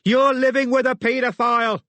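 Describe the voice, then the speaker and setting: A man's voice: very high voice, flat delivery, normal speed, a bit bright, no echo, pitched above the performer's own voice. A man voicing a determined young woman detective who roars and pants through a fight. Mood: shouting. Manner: roaring, panting.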